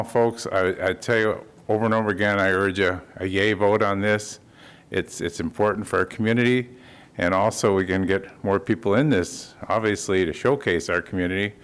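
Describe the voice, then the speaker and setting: A man's voice: deep voice, clean tone. A man speaking before a city commission in a folksy, joking way, urging a yes vote. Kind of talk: public comment at a council meeting. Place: council chamber.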